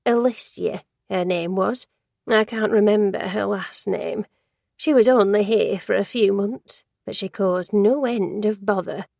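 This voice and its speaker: A woman's voice: mid-range voice, a little slow, creaky, a little mellow, no echo, studio quality. A woman voicing a gossipy, judgmental older woman who works in an office, rambling and easily sidetracked in a storybook reading. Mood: disapproving.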